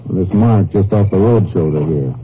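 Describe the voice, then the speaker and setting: A low-pitched lawman, played by a male actor, terse and matter-of-fact, questioning and reasoning with brisk authority. It is 1950s radio detective acting on a dull, slightly hissy old recording. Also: lightly acted. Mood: brisk, probing. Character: brisk, observant Texas Ranger investigator.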